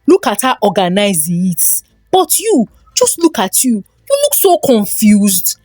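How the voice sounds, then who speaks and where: A woman's voice: medium pitch, big pitch swings, unhurried, darkish tone, no room sound, faint music behind. A woman voicing a scornful, nagging wife in a folktale, with a Nigerian accent and a sarcastic, scolding way of talking.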